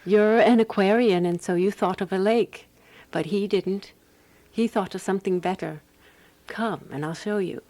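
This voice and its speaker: A woman's voice: medium pitch, moderate pace, a little mellow, close to an everyday voice. Composed woman detective played by an actress in radio drama. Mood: explaining, confident. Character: Alice, calm, practical woman amateur detective narrating her case. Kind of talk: radio drama dialogue and first-person narration.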